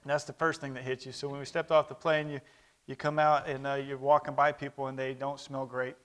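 A man's voice: medium pitch, level tone, fairly quick, fairly bright tone. A man in his thirties who grew up in Brazil, speaking Portuguese first, giving a conversational missionary report to a church and calling for amens. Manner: conversational, with calls for amen.

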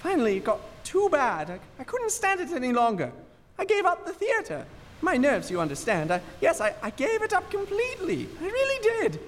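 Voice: extremely high pitch, huge pitch swings, a little fast, full character voice. An actor playing a nervous, talkative ex-actor in a radio drama, stammering and repeating himself in a florid, fussy way. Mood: agitated, wounded. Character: nervous, theatrical hunchbacked ex-actor, talkative little man. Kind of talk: old-time radio drama acting.

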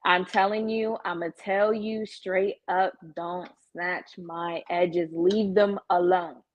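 A woman's voice: lowish voice, fairly even intonation, slow pace, highs cut off. A woman guest chatting casually as a salon client, with a blunt, candid, slangy manner. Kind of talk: casual chat.

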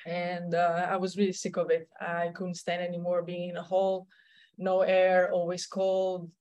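Low-voiced woman with a Slovenian accent. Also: monotone, a little slow, darkish tone. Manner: rambling, many restarts and fillers. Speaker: tennis coach, guest. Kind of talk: conversational interview answers.

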